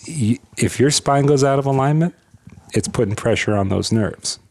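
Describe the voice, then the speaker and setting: A man's voice: fairly low pitch, darkish tone. A man, a chiropractor, explaining health topics as a podcast guest, talking with energy and frequent restarts and repeated words. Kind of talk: conversational interview, explaining.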